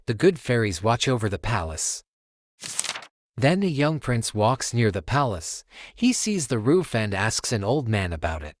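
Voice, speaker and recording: A man's voice: on the low side, sing-song, unhurried. A man hosting a storytime channel, reading a children's picture book aloud as narrator.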